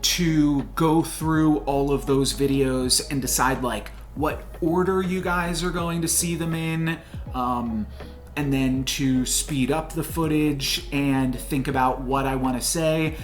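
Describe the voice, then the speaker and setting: Chatty young man, slightly high voice. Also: fairly even intonation, slow pace. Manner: chatty, rambling with fillers and self-restarts. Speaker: gaming channel host. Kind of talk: off-the-cuff game commentary outro. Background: faint background music.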